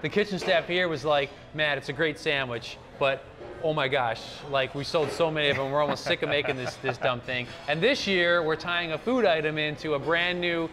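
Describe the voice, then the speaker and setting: A man's voice: a little high, moderate pace. A man, hosting a promotional interview in his restaurant, enthusiastic and chatty. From Cleveland, Ohio. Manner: enthusiastic, chatty, many fillers like "like". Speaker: restaurant owner hosting the chat. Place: inside a decorated restaurant.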